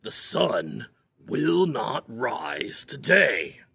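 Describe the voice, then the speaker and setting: A man voicing a big, proud male bear who speaks gruffly and slowly, grumbling, in a told story. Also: mid-range voice, very animated, fairly bright tone, full character voice. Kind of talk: oral storytelling.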